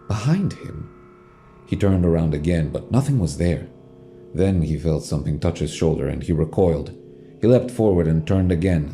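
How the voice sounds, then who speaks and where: A man's voice: deep voice, warm tone. A man narrating an audio drama in a scripted storytelling style. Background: music.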